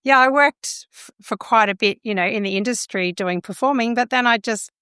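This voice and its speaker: A woman from Australia, a speech pathologist and singer, answering interview questions in a relaxed, reflective and candid way. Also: fairly high pitch, moderate pace, clean tone, no echo, clean studio sound.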